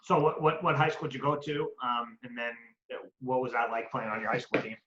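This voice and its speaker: Bright-voiced male host, dull echoey sound. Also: mid-range voice, brisk. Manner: friendly, loosely worded questions. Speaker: interview host. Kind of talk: casual interview.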